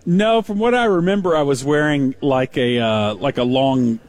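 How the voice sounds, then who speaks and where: A man in casual chat, telling an animated, self-mocking story about his early career. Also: mid-range voice, moderate pace. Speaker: podcast guest recalling his radio past.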